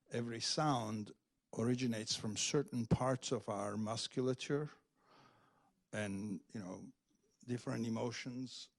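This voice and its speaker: A man speaking in a discussion after a reading, searching for words and often checking in with the listeners. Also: fairly low pitch. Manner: hesitant, searching, often says "in fact" and "right". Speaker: speaker discussing a poetry translation. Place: public event room at a library.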